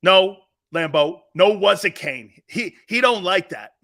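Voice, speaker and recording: A man's voice: high voice, expressive, unhurried, pushed and bright. A middle-aged man hosting a live sports talk show, joking and rambling, laughing often as he talks. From Italian-American family.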